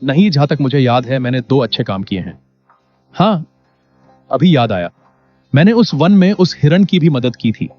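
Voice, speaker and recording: An innocent, humble poor farmer, voiced by a man in a cartoon moral tale. The voice sits at a medium pitch and is simple and earnest. The recording is a touch dull, with music behind. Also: big pitch swings, moderate pace, pauses often, natural voice. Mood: gentle, pleased. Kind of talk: Hindi animated moral story acting. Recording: dull lo-fi audio.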